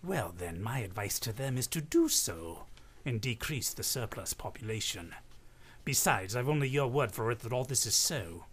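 A man's voice: mid-range voice, big pitch swings, normal speed, fairly bright tone, husky. An actor playing a cold-hearted old miserly businessman, curt and scornful, in an old-time radio drama style. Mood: cold, dismissive.

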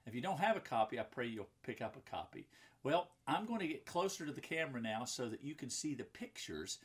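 A man's voice: medium pitch, very animated, a little fast, slightly rough. Male, 50s, pastor type with a mid-range voice, reading and explaining simply for young listeners. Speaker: pastor and children's book author. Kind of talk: children's story reading with chatty asides. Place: indoor book-lined room at home or office.

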